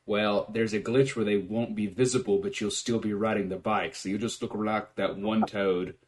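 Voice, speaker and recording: A man with a lowish voice hosts a loose, casual podcast from his home setup. He swears freely and laughs often at his own jokes. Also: steady tone, moderate pace. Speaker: podcast host. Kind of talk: casual chat.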